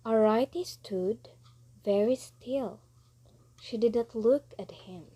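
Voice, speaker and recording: A woman's voice: a little high, slow pace, dark tone, a little hiss or hum. A young woman reading scripted story narration as a readers' theatre narrator. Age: young adult.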